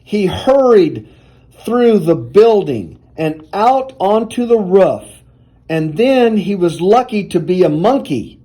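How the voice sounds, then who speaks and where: A man reading a children's picture book aloud in an animated, deliberate storytelling manner. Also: high-pitched, poor audio.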